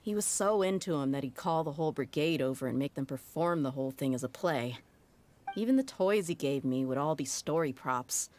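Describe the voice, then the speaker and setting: Brief: a candid, deep-voiced female sellsword who speaks plainly. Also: expressive, brisk, darkish tone, slight background noise. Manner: frank, wry, matter-of-fact. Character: tough young female mercenary, blunt and candid. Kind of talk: video game cutscene dialogue.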